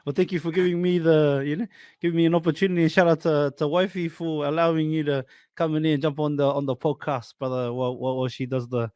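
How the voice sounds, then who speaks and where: Male podcast host, friendly casual chat, slightly high voice, dry close mic. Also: little pitch movement, fast talker, darkish tone, clean tone, dry recording. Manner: friendly, calls listeners and guest "fam" and "brother".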